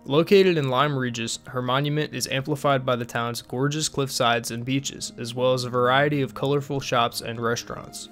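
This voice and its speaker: A man's voice: medium pitch, fairly even intonation, normal speed, few pauses. Young man narrating over faint music. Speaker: documentary narrator. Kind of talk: scripted documentary narration. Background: soft plucked guitar music.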